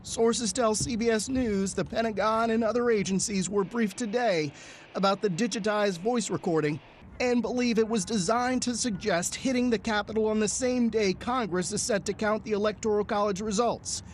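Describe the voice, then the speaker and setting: A woman's voice: mid-range voice, moderate pace, a little hiss or hum. A woman news correspondent narrating a scripted television report.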